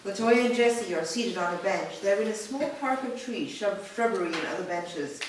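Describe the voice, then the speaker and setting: A woman's voice: mid-range voice, normal speed. A woman reading stage directions as scripted narration. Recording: echoing room, distant mic, clear background noise.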